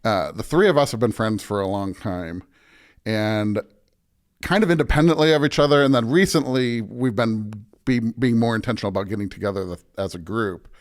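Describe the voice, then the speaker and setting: A man's voice: on the low side, brisk. An American man, a pastor, talking casually and reflectively as an interview guest, circling back to rephrase as he thinks aloud.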